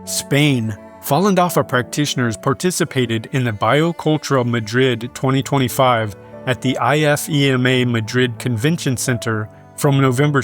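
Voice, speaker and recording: A man reading scripted news in a measured, formal manner. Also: medium pitch. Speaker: news reader.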